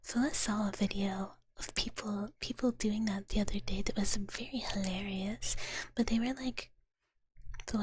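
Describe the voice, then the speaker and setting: A woman streaming ASMR in a soft, breathy whisper, chatting casually and playfully with viewers up close to the microphone. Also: mid-range voice. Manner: playful, speaks of self in third person. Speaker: ASMR livestreamer.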